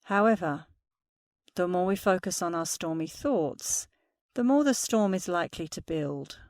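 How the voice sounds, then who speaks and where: A woman meditation instructor speaking softly and soothingly through a guided meditation. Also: lowish voice, animated, no room sound, clean studio sound. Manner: slow, soothing, soft-spoken guidance.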